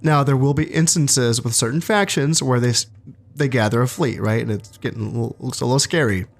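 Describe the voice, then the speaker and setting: A man's voice: mid-range voice, fairly quick, gravelly. Congested man doing game commentary. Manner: relaxed, thinking aloud with fillers and restarts. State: congested from allergies, low energy. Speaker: gaming channel host. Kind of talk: casual strategy game commentary.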